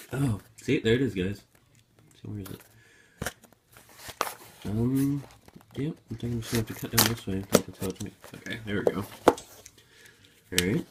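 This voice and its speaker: A man's voice: fairly low pitch, a little slow, pauses often, very noisy. Sick young man grumbling through an unboxing. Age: young adult. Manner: grumbling, swears a lot. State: sick with a sore throat. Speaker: vlogger. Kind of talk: casual unboxing vlog. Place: indoor room at a table.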